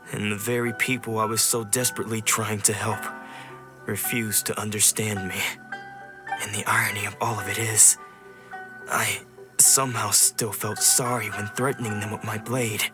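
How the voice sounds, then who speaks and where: An actor playing a weary, troubled swordsman in a video game, speaking in a brooding, measured, reflective way. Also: fairly low pitch, close to an everyday voice. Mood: bitter, anguished. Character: weary, troubled swordsman who lost his memories. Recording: music behind the voice.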